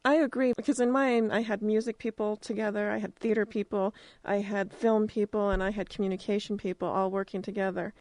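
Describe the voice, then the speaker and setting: A woman's voice: mid-range voice, steady tone, brisk, few pauses, a little mellow, no room sound, low-quality recording. American woman, mid-range voice, casual interviewer, chatty informal phrasing, dry room-free sound. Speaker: interviewer, radio drama producer.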